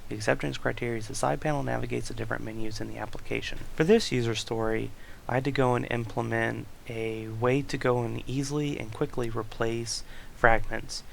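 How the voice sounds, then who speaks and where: Young male student, creaky flat voice, explaining code over screen capture on a hissy desk mic. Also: medium pitch, brisk, low-quality recording. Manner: reads user stories aloud, explains code step by step with fillers. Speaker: student presenter. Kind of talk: screen-recorded project walkthrough.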